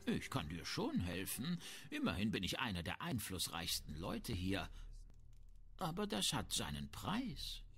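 A man's voice: lowish voice, wildly swooping pitch, measured pace, gravelly, a bit bright, husky, full character voice. A man playing a shrewd, grumbling, self-important town merchant in a fantasy video game. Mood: sly, bargaining. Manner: haggling, disdainful, self-important. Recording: music behind the voice.

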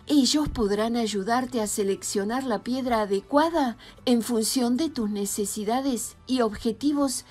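A woman reading a scripted voice-over as a channel narrator, giving advice in a calm, even manner. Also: fairly high pitch. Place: voice-over over stock footage. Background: soft background music.

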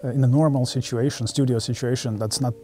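A man, explaining in halting, choppy phrases as an interview guest. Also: medium pitch, fairly even intonation, warm tone, faint music behind. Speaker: product manager, interview guest. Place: studio room with curtains and audio gear.

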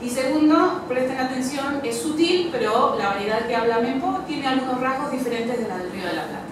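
A woman's voice: high-pitched, animated, a little fast. A woman teacher explains things to her class, repeating words as she thinks. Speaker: Spanish teacher. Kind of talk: classroom announcement. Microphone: far camera. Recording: echoey classroom from far off, noisy.

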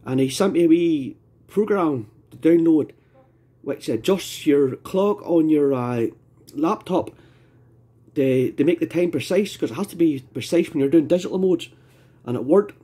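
A Scottish man speaks in a husky, slightly mellow voice, fairly high for a man. He chats casually in the manner of a radio amateur talking on air. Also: expressive, a little slow. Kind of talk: casual two-way radio chat. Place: indoors at a home radio desk.

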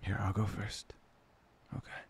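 A young man playing a soft, playful, devoted boyfriend in an intimate ASMR roleplay, breathy, hesitant and often near a whisper. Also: unhurried, a little hiss or hum, close to an everyday voice. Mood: teasing, playful.

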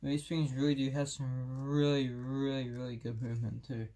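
A man's voice: mid-range voice, flat delivery, normal speed, mellow. A man hosting a casual hands-on demo, thinking aloud in short, unscripted fragments. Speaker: video host testing speakers. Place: indoor room, seated on floor by speakers. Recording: clear background noise, clicks.

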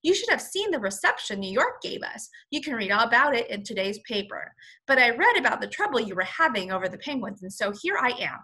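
A woman's voice: fairly high pitch, big pitch swings, a little fast, bright, forceful tone, slightly rough, exaggerated voice. A woman voicing a hearty, kindly bearded admiral in a storybook, with emphatic, stately delivery.

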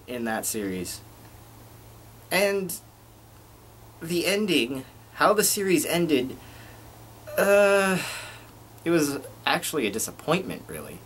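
A man's voice: on the high side, sing-song, a bit bright, a little hiss or hum. A young man in a small bedroom giving a casual TV review vlog to a webcam, with loose restarts. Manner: unhurried, loose delivery with restarts. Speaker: video reviewer.

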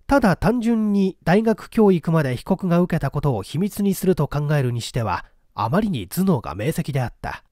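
Male narrator, mystery audiobook, slightly high pitch, lively intonation, scripted dramatic reading. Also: no echo, clean studio sound. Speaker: audiobook reader.

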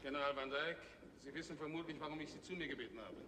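A man's voice: on the high side, rapid-fire, pushed and bright. A middle-aged man playing a stern space general in a 1960s television drama, formal and authoritative. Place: large hard-walled office set. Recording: reverberant studio set, faint noise.

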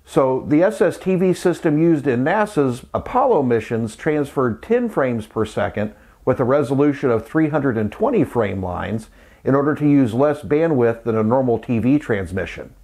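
A middle-aged man hosts a casual how-to video. His voice sits at an average male pitch. He explains things plainly, one step after another, like a hobbyist walking viewers through a setup. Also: animated, moderate pace, vocal fry, a little mellow. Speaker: host of a vintage electronics channel, amateur radio hobbyist. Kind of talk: casual tutorial narration.

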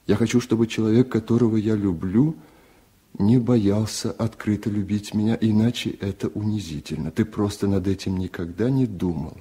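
A man's voice: on the low side, steady tone, moderate pace, warm tone, husky. A man voicing a hurt, earnest, reproachful wife in a 1970s radio literary reading. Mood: reproachful, pleading. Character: hurt, reproachful wife longing for open love.

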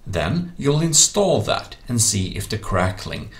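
A man's voice: fairly low pitch, sing-song, unhurried. A man narrating a step-by-step computer tutorial in an instructional style. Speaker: tutorial host. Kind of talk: instructional screen-recording narration.

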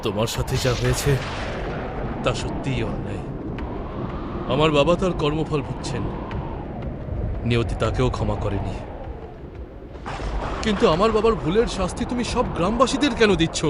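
A man's voice: a little high, very animated, moderate pace, long pauses, heavy background noise. An actor playing an earnest, remorseful village man in a horror cartoon, speaking emphatically and dramatically. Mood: earnest, reproachful. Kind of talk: dramatic cartoon dialogue and narration.

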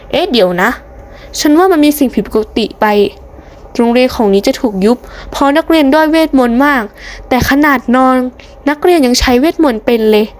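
Woman acting as a female vampire, high voice, secretive, plotting to herself, cartoon story. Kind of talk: hobby cartoon story dubbing.

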